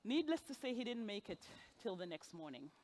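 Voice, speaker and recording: A woman's voice: medium pitch, big pitch swings, a little fast, a little mellow, slight background noise. A woman in her forties from Zimbabwe, giving a personal storytelling speech at a gala and laughing at her own asides. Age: 40s. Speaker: gala speaker. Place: gala event hall, on stage.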